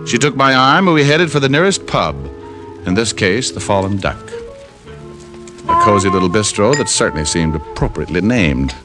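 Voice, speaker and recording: Low-voiced, wry private eye. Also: male voice, normal speed. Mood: wry narration. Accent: American. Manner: wry, smooth-talking, deadpan. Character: wry, flirtatious hard-boiled male private detective narrating his case. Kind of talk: 1940s-50s radio detective acting. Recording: old radio recording with music behind.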